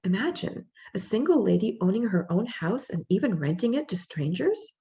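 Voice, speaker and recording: A woman voicing a young immigrant mother in labor, reading her thoughts in the first person in a plain, gently rueful way. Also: mid-range voice, sing-song, moderate pace. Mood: reflective.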